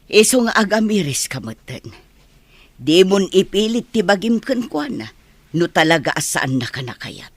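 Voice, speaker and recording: A woman's voice: mid-range voice, very animated, moderate pace, slightly rough, dry recording. Woman acting concerned parent, dry close sound. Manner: earnest, consoling. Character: concerned, supportive parent consoling a grown son. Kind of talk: Ilocano radio drama acting.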